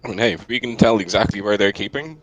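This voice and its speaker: A man's voice: fairly low pitch, fast, a bit bright, muffled recording. A man playing a tabletop roleplaying game, chatting casually as he plans with the group. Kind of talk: casual planning chat.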